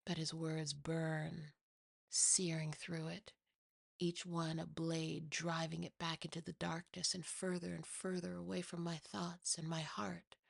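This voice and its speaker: Female ASMR horror narrator: lowish, creaky, unhurried, first-person storytelling. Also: little pitch movement, no room sound, clean studio sound. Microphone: close, dry mic.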